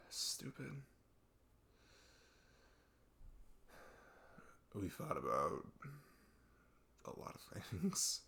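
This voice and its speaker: A man playing a troubled, apologetic best friend in an intimate ASMR roleplay, halting, stammering and sighing. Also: low voice, gravelly, fairly bright tone, husky, full character voice. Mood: uneasy, guarded.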